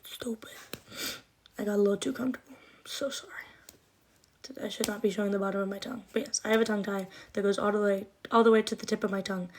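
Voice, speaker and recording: A woman in her twenties chatting on a livestream, rambling with lots of fillers, with a slight lisp and trouble with her R sounds. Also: medium pitch. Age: 20s. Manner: rambling and chatty, many likes and ums. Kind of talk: casual livestream chat answering comments.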